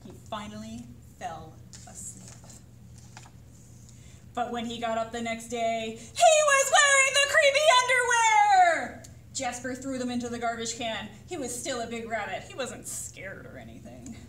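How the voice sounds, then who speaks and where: A woman reading a picture book aloud to children as a librarian, with dramatic, suspenseful delivery. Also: on the high side. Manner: expressive, suspenseful pauses and sudden exclamations. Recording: roomy echo, distant mic, faint noise.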